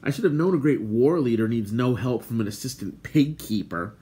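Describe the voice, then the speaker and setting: A man's voice: medium pitch, animated, a little fast, warm tone, lightly acted. A man voicing a hot-headed young pig keeper in a storybook, indignant and blunt in his retorts. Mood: angry, sarcastic.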